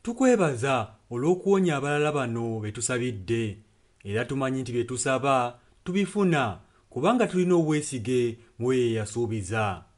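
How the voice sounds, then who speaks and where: Man interpreting a sermon, medium pitch. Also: big pitch swings, a little fast.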